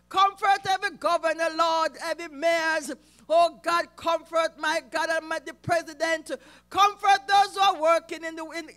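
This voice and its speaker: A man leading an impassioned intercessory prayer, calling out to God again and again, often close to shouting. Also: very high-pitched. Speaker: prayer leader.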